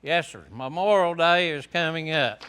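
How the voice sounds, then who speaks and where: A man, a veteran telling his story to a high school class in a plain, folksy, unhurried way. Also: bright, forceful tone, clear, resonant tone. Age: 70s. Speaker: veteran guest speaker. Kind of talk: classroom talk to students.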